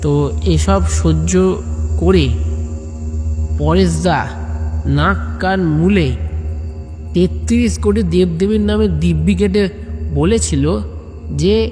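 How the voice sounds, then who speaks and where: A man's voice: sits high, a little mellow. A man narrating a comic audio story in a conversational way, addressing listeners directly. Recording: long reverb, music behind.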